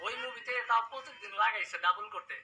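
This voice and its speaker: A man's voice: sits high, fast talker, far from the mic, over music. A man playing a skeptical cartoon father who talks in a blunt, casual, scoffing way. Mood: mocking. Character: skeptical, dismissive father and husband.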